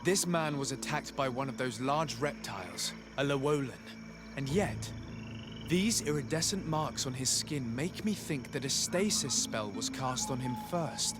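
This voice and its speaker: An actor playing a courteous, composed envoy investigating in a video game, speaking formally and deliberately. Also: male voice, medium pitch, animated, music in the background, close to an everyday voice. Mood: analytical. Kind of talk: measured game dialogue.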